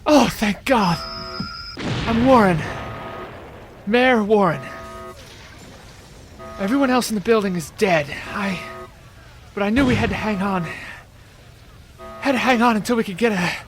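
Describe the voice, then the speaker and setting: An actor playing a mortally wounded colony mayor in an audio drama, gasping out halting, urgent words in pain. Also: male voice, high voice, music in the background. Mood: desperate relief. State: in pain, struggling to speak. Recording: clearly noisy distress transmission.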